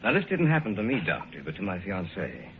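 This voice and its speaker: A calm earnest fiancé. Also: male voice, sits low, quick pace, a little hiss or hum. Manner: calm, reassuring, formal. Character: steady young man David, the fiancé who narrates. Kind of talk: 1950s radio drama acting and narration. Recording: tinny old radio broadcast with music.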